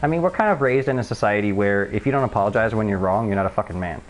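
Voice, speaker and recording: A man with a high-pitched voice, talking very fast and swearing freely as he chats during a live game stream. He stumbles and restarts his sentences, pressing his points hard. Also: animated, faint music behind, rough recording. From Canada. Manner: profane, emphatic, often restarting sentences. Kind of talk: rambling voice chat.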